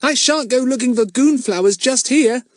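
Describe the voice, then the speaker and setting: Fussy young boy, voiced by a male reader, pitched high. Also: moderate pace, no echo, clean studio sound. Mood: wary.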